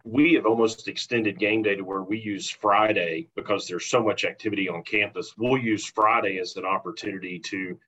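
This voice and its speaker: An American man speaks in a fairly low voice with a bright, forceful edge. He explains things agreeably and conversationally, as one professional to another. The audio is somewhat dull and lo-fi. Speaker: college athletics sponsorship professional.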